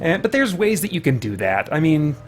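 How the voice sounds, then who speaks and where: A man's voice: fairly high pitch, very animated, fairly quick, music in the background. A man hosting a live game stream in casual chat, rambling and thinking aloud.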